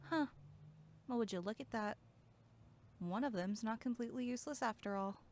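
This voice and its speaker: A woman's voice: fairly high pitch, moderate pace, darkish tone, natural voice. A woman acting in an audio drama as a flippant young camp counselor, with a sarcastic, blunt and casual way of talking. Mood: sarcastic, unimpressed.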